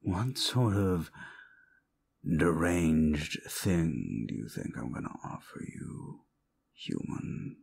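A man playing a condescending, sardonic ancient demon in an audio roleplay, gravelly, with theatrical, mocking delivery. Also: very deep voice, big pitch swings, takes it slowly, a little mellow, a little hiss or hum, full character voice. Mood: menacing, teasing. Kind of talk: audio roleplay monologue addressed to the listener.

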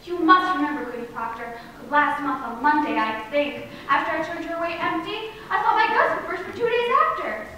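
A woman's voice: high voice, a little fast, hardly any pauses, pushed and bright. A young woman acting an anxious, self-important eighteen-year-old servant girl in a period stage play, with dramatic, emphatic delivery. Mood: fearful, insistent. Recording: echoey room, distant mic, faint noise.

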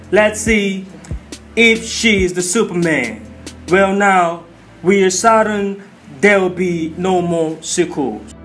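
Guy with a kinda high voice doing slangy hyped trailer commentary, music playing behind him. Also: a bit bright. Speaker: video host.

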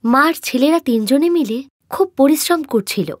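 A woman narrating an animated moral tale in a scripted storytelling style. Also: high-pitched, moderate pace, dry recording, clean studio sound.

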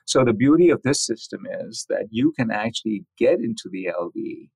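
A man's voice: medium pitch, sing-song, moderate pace, gravelly, a little mellow, slightly rough, no room sound, low-quality recording. A man speaking as a physician guest in an interview, explaining technical research with enthusiasm.

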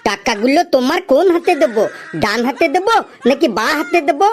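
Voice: high-pitched, big pitch swings, fairly quick, slight background noise. An actor playing a wheedling, cheeky freeloading customer in a comedy cartoon, teasing in a mock-earnest way. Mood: teasing, stalling.

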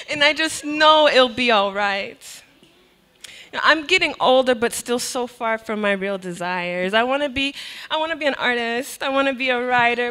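Young woman poet, bright and slow-paced, reciting her verse into a stage mic. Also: sits high. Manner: expressive, laughs between lines. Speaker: spoken word poet. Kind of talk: spoken word poetry performance. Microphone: handheld mic on a stand.

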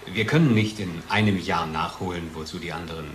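An actor playing a testy, sardonic research chemist who argues and explains dryly, in a German radio drama. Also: male voice, low-pitched, a little fast, fairly bright tone. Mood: indignant. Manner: dry, argumentative, explanatory. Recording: clearly noisy background ambience.

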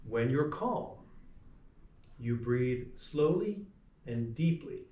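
Slow-reading man in an echoey room. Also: medium pitch, lively intonation, warm tone, muffled recording. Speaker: preschool teacher reading to children. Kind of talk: picture-book read-aloud for young children. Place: small classroom, indoors. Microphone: camera a few meters away. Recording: echoey room, distant mic.

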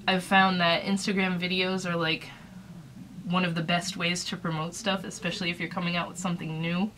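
A woman's voice: lowish voice, a bit bright, slight background noise, poor audio. A woman in her thirties giving casual advice about running a small shop, chatty and rambling, her throat dry from a recent illness, recorded on a webcam in a small room. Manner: chatty, rambling, lots of fillers. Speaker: small business owner vlogger.

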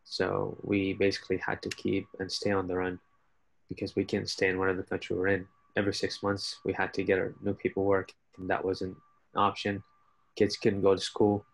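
A man's voice: low-pitched, completely flat delivery, moderate pace, gravelly, low-quality recording. A man from Iraq giving a plain-spoken, reflective personal account as a participant on a video call. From born in Iraq, grew up as a refugee in several countries. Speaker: workshop participant. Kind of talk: personal testimony.

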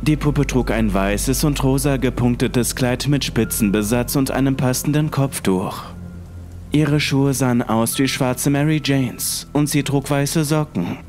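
A man's voice: mid-range voice, a little slow, a little mellow. A man reading a first-person horror story as scripted narration. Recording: faint music underneath.

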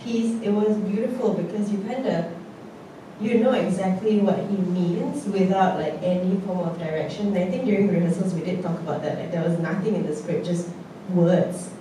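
A woman's voice: on the low side, normal speed, mellow, heavy reverb, slight background noise. Brief: emotional, chatty woman panelist, distant mic in a big theatre. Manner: emotional, chatty, nervous laughs. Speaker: actor on a post-show panel. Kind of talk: casual panel chat. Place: indoor theatre stage.

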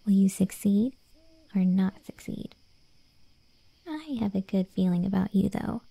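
A woman voicing a smug, conceited undead cat girl in a soft, teasing ASMR roleplay. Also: medium pitch, lively intonation, unhurried, creaky, slightly rough, no room sound, heavily acted. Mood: softly hopeful. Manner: soft, teasing, self-important. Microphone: close, dry studio-style mic.

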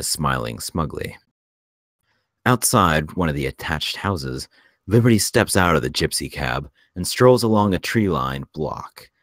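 A man's voice: a bass voice, no room sound, clean studio sound. A man reading screenplay stage directions as narrator at a table read.

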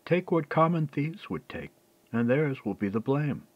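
A man voicing a scheming medieval noblewoman in an audiobook, smooth, coaxing and persuasive. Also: medium pitch, sing-song, a little slow, no room sound, dull audio, pitched above the performer's own voice. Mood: conspiratorial, reassuring.

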